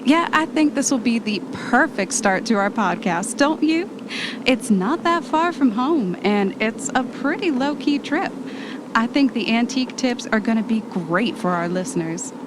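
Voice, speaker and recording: A woman's voice: lively intonation, measured pace. A woman playing an eager, bossy travel podcaster in an amateur audio drama, chatty and ready with an announcer's polish. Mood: cheerful. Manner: chatty, switches into polished radio voice. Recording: noisy, vehicle rumble behind the voice.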